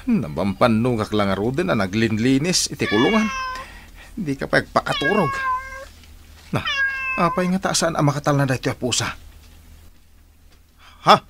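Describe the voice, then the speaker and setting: A man's voice: medium pitch, sing-song, normal speed, pauses often, noisy background. A man acting a put-upon, timid house servant who mutters and exclaims, with an Ilocano accent in a radio drama. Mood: grumbling, scheming. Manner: muttering, exclamatory, talks to the cat.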